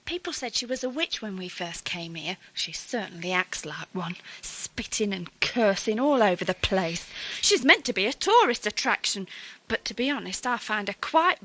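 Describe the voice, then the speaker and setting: A woman's voice: mid-range voice, expressive, moderate pace, pushed and bright, poor audio. A woman playing a fussy, dutiful suburban wife in an audio drama, prim, chatty and apologetic. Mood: gossipy.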